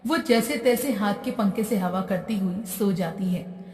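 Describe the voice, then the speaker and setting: A woman's voice: medium pitch, a little slow, darkish tone. A woman reading scripted narration for a moral story as the narrator. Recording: echoey hall-like reverb, distant.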